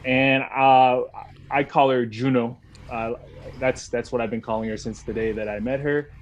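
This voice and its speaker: A man hosting a podcast, chatting casually and hesitantly with many pauses and fillers. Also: medium pitch, steady tone. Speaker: podcast host. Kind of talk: casual chat.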